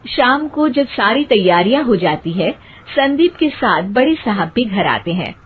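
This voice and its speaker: Animated, husky woman narrator. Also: a little high, a little slow. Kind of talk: scripted children's cartoon story narration. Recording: muffled, narrow phone-like sound.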